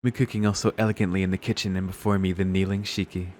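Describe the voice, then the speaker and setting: A man's voice: low-pitched, level tone, fast, a little mellow, a little hiss or hum, natural voice. Man reading as a smug, possessive maid mistress. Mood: smug, coaxing. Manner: smug, coaxing, commanding. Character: possessive, doting maid girl lording over her pet. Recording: music behind the voice.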